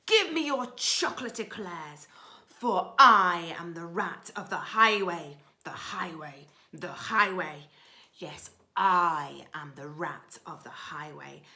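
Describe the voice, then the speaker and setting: Bellowing rat bandit played by a woman, boastful, greedy, rude, bright forceful tone, children's storybook villain. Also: fairly low pitch, heavily acted. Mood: snarling, demanding. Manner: loud, bellowing, theatrical commands.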